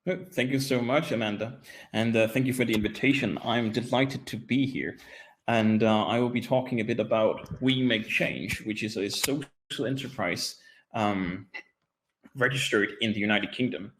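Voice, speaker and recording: A man's voice: on the low side, normal speed, a bit bright, slight background noise, rough recording. A young man from Denmark giving a friendly webinar presentation as a guest speaker, with many hesitations, over a video call. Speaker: guest speaker, social enterprise executive.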